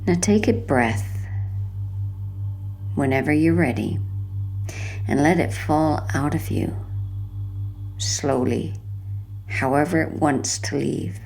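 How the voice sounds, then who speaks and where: A woman with a low, gravelly-edged voice leads a guided sleep relaxation. Her delivery is gentle and hushed, with soft creak at the ends of phrases. There is faint noise behind her. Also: slow pace, long pauses. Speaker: hypnotherapy narrator.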